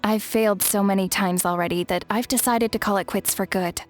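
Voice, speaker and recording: A woman's voice: medium pitch, fairly even intonation, a little fast, soft background music, close to an everyday voice. A woman playing a self-doubting, resigned young woman who speaks hesitantly, in an English game dub.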